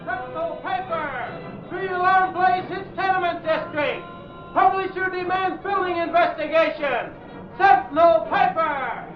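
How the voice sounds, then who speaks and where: Newsboy, very high hawking cry, distant and echoey, old radio drama. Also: male voice, a little slow, pauses often. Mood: loud, urgent. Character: newsboy hawking papers on the street. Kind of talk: 1930s radio acting, shouted street cry. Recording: dull old radio recording, echoey, distant, loud music.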